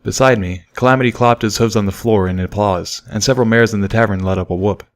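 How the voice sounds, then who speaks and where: A man's voice: lowish voice, fairly even intonation, fast talker. A man reading scripted first-person fiction as an audiobook narrator. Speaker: audiobook reader.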